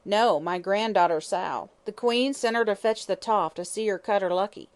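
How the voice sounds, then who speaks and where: Woman playing a drunken old hag, croaking, snarling, high and loud, storybook villainess. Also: expressive, normal speed, natural voice. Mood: surly. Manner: croaking, snarling, coarse slang. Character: vicious drunken old female hag fortune-teller.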